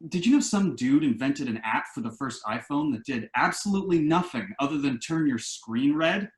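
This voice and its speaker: A man's voice: mid-range voice, expressive, normal speed, close to an everyday voice. Earnest man acting an anxious son, echoey room. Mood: anxious, pleading. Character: earnest adult son, anxious and quirky about house rules. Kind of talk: virtual play reading, conversational comedy.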